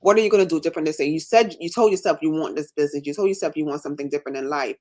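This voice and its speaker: A woman with a slight Jamaican accent, talking fast and with emphasis as she tells her own story in a casual live chat. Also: low-pitched, a little mellow. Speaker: nurse and business owner, guest.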